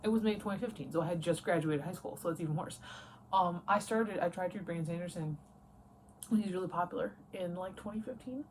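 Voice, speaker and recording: A woman's voice: fairly low pitch, fast. A woman in her late twenties chatting casually in a rambling, self-interrupting way, as in a personal vlog. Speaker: book and writing vlogger. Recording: noticeable background noise.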